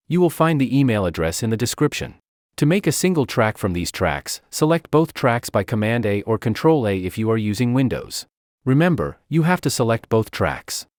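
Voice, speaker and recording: A man giving a scripted software tutorial as a narrator, step by step. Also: mid-range voice, no room sound, studio quality.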